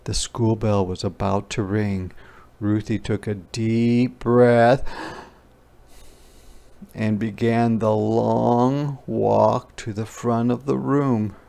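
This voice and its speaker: A man narrating a children's picture book aloud in a deliberate, expressive storytelling style. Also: on the low side, vocal fry.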